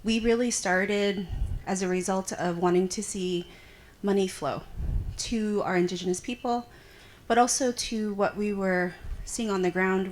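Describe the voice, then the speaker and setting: A woman who owns a consulting firm, introducing herself in a measured, composed way on a conference panel stage. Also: mid-range voice, slight background noise. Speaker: company owner and panel speaker.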